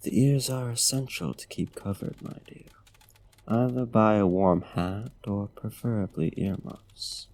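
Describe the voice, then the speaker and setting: Courtly vampire gentleman, played by a male actor for ASMR roleplay: low, gravelly, genteel and smitten, speaking softly and formally up close. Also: measured pace. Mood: courteous, concerned. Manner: formal, old-fashioned, genteel diction.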